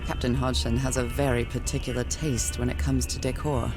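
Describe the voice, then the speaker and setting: An actress playing a wistful, adventurous wife who muses to herself in a video game. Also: female voice, extremely low pitch, little pitch movement, a little fast, music in the background. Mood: musing. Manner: talks musingly to herself. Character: Nora, wistful adventurous wife searching for missing husband. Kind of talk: dramatic video game narration.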